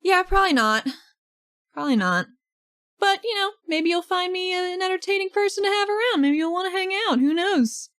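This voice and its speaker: A woman's voice: extremely high pitch, expressive, brisk, fairly bright tone, dry recording, studio quality, pitched above the performer's own voice. A woman playing a wry, unbothered female vigilante, casual and needling, in a tabletop roleplaying game. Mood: casual, teasing.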